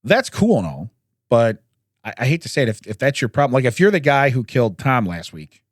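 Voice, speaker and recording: Animated man chatting on a podcast. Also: medium pitch, a little fast, dry recording, studio quality. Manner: jokey, frequent chuckling and throat-clearing. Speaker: podcast co-host. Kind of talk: casual comedic chat, telling odd news stories.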